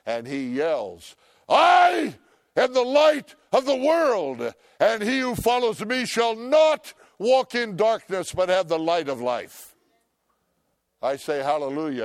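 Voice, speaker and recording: An older man preaching a sermon, loud and emphatic, swinging between shouts and hushed asides. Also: high-pitched, animated, takes it slowly, no echo. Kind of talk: impassioned sermon.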